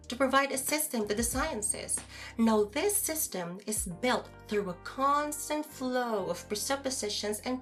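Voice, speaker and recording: A woman acting as a calm, know-it-all philosopher in an audio drama podcast, explaining things to a friend with formal, measured wording. Music plays softly behind the lecture. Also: a little high. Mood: lecturing.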